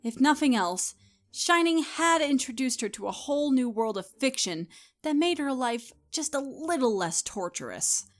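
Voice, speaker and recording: A woman reading scripted story narration as the narrator, in an expressive storytelling manner. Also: high voice, normal speed.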